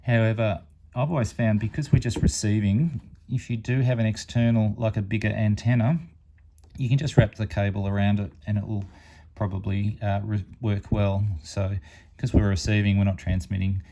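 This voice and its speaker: Low, mellow man demonstrating. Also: steady tone, normal speed. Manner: unscripted, step-by-step explaining. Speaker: product reviewer on a radio hobby channel. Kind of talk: informal hands-on product demonstration.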